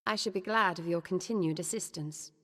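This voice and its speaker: An actress playing a cool, scholarly woman in a fantasy game, speaking formal, measured English. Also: fairly low pitch, lightly acted. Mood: composed. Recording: long hall reverb.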